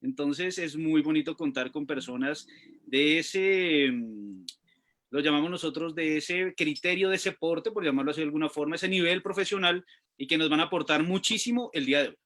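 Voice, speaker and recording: A man co-hosting a radio magazine, speaking in a formal, deliberate way. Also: on the high side, fairly bright tone. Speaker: radio co-host.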